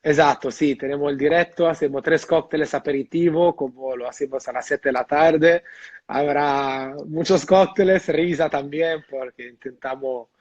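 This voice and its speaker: An Italian-accented man talks about his bar in an easy, halting way, with lots of "eh" and "¿no?". His voice is muffled and dry, with no room echo, as if he is on a video call. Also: a little high, fairly even intonation, darkish tone. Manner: hesitant, many fillers and tag questions. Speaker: bartender guest. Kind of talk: casual interview over video call.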